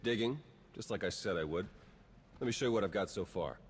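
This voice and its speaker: A man's voice: lowish voice, brisk, close to an everyday voice. An actor playing a wry, cynical male spy in a video game, dry and understated. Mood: briefing, determined. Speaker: intelligence agent character. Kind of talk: video game cutscene acting. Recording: long hall reverb, noisy ambience behind.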